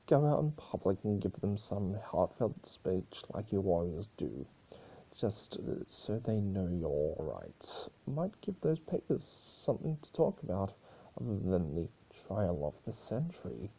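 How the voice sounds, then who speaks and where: Storybook chaos spirit, played by a man: male, mischievous and mocking, gravelly, swinging pitch. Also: big pitch swings, measured pace, dark tone, heavily acted, low-quality recording. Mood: offhand advice. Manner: mocking, melodramatic.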